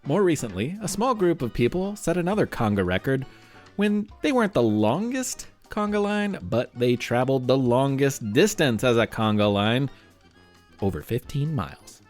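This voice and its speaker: A man narrates for young listeners with highly animated, swooping intonation. His delivery is playful and theatrical, dotted with wry asides, and faint music plays underneath. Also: normal speed. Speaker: podcast host. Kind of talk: scripted narration for a children's history and quiz show.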